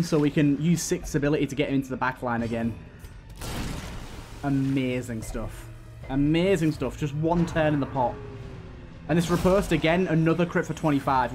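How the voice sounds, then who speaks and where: Male gaming host, higher register, running play-by-play commentary over background music. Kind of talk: let's play game commentary. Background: game music.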